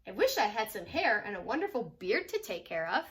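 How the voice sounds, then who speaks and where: A woman's voice: medium pitch, sing-song, fairly quick, fairly bright tone, far from the mic, voice pushed down. A woman voicing a mild, earnest bald man who longs for a beard, in a children's storybook. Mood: wistful.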